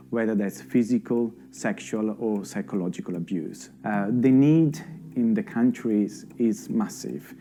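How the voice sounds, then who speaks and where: A man giving measured, explanatory scripted narration for a documentary voice-over. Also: lowish voice, mellow. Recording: faint music bed underneath.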